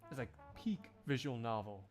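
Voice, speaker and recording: A man is chatting off the cuff on a livestream. His mid-pitched voice moves up and down in an animated way as he rambles, jokes and exclaims to his viewers. Faint music plays alongside him. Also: moderate pace. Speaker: live streamer. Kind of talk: casual livestream chat to viewers.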